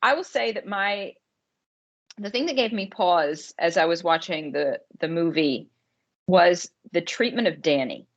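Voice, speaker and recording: A woman's voice: lowish voice, a little slow. A woman podcast co-host chatting casually about a film, thinking aloud in informal, slangy language. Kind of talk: casual conversational discussion. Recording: slightly dull, lo-fi podcast audio.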